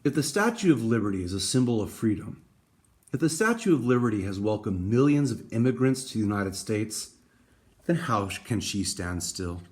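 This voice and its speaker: A man reading a picture book aloud, with dramatic emphasis and deliberate pauses. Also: fairly low pitch, very animated, a little mellow. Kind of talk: expressive storybook read-aloud.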